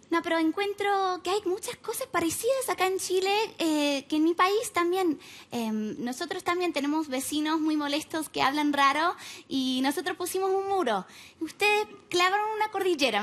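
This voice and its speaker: A young American woman doing stand-up in Spanish with an Argentine-tinged accent, deadpan and ironic, on a TV comedy club stage at a stand mic. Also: very high-pitched. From United States. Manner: deadpan, ironic asides with frequent tag questions. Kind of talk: stand-up routine.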